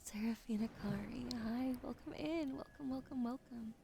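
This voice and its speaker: A woman whispering gently in a slow, soothing ASMR stream, welcoming and thanking her viewers. Her voice carries a light vocal fry. A clear steady hiss of noise sits behind her. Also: fairly high pitch. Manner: greets and thanks chat.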